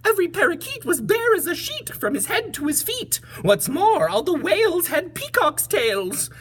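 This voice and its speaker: A woman's voice: extremely high pitch, very animated, moderate pace, fairly bright tone, voice pushed up, exaggerated voice. A woman voicing flustered, squawky little birds complaining in storybook rhyme. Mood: flustered complaint. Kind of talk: animated picture-book read-aloud.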